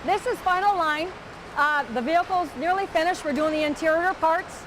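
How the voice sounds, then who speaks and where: A woman's voice: very high voice, moderate pace. A woman plant worker talking informally in an interview. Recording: clear factory noise behind voice.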